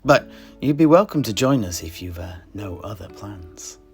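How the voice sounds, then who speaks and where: A man's voice: on the low side, expressive, moderate pace. A man playing a courteous, scholarly tavern keeper and amateur historian who hosts a fantasy audio drama, speaking in polite, wordy phrases. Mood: hospitable. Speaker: host. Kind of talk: in-character fantasy audio roleplay. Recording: music behind the voice.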